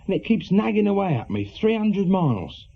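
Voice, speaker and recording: An actor playing an awkward middle-aged reformed small-time crook with an English accent, a worried husband in a British radio drama, husky and hesitant. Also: male voice, sits high, big pitch swings, normal speed, a little hiss or hum. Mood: uneasy, worried. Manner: hesitant, colloquial, defensive. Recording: muffled, lo-fi radio drama recording.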